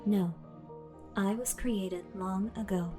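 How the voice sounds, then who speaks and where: A woman voicing a calm, all-knowing female artificial intelligence that lives in a hero's belt, speaking in a measured, formal way. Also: medium pitch, natural voice. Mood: solemn. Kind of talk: fan audio drama. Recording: music bed underneath.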